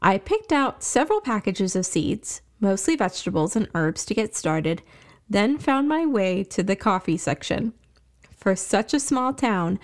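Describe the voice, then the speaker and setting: A woman reading scripted narration as the host and storyteller of a fiction podcast. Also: mid-range voice.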